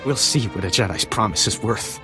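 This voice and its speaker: An actor playing a grief-stricken, bitter husband who demands revenge in a video game, impassioned and accusing. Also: male voice, on the low side, brisk, close to an everyday voice. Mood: bitter challenge. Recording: loud music behind.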